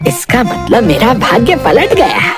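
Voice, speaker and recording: A slow-talking, whiny cartoon village man acted by a woman, lazy and scheming. The voice swoops widely and sounds self-pitying and exaggerated. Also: on the high side, very animated, music in the background. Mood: gleeful scheming.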